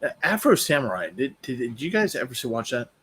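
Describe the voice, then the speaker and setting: A man's voice: mid-range voice, big pitch swings, a little fast, a bit bright, breathy edge. A man chatting casually as a panelist on a news show. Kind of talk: casual chat.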